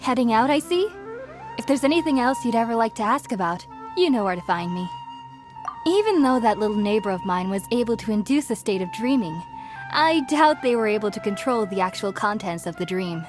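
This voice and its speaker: A woman playing an earnest, gentle young researcher in a video game, explaining things in a thoughtful, articulate way. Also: fairly high pitch, expressive, a little fast, natural voice. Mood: earnest, reflective. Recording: music behind the voice.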